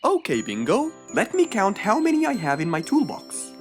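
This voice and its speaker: A woman's voice: mid-range voice, wildly swooping pitch, moderate pace, faint music behind. A woman voicing a kindly, helpful fox with a friendly, patient manner in a children's cartoon. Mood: helpful, reassuring.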